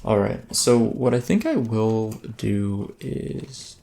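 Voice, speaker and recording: A man's voice: lowish voice, very animated, slow, creaky, mellow. Man rambling through gameplay commentary. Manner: rambling, self-interrupting, chatty. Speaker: let's play host.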